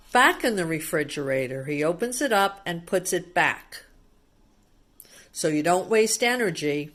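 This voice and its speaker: A woman reading a picture book aloud to young children, adding chatty commentary and simple explanations and often putting questions to the child. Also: medium pitch.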